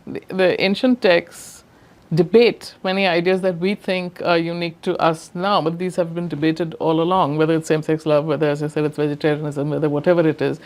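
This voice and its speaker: An older woman speaking English with an Indian accent, her voice sitting a little low. She talks as an interview guest in a reflective, conversational way. She is emphatic, often restarts her phrases and laughs softly. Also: level tone, fairly quick. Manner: thoughtful, emphatic, frequent restarts and soft laughs. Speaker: interview guest, professor emerita.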